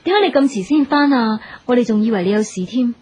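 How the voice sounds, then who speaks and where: An actress playing a sensible, soothing sister in a family business radio drama, with a Hong Kong Cantonese accent. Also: female voice, a little high, expressive, fast, a little mellow, breathy edge. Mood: anxious, persuasive.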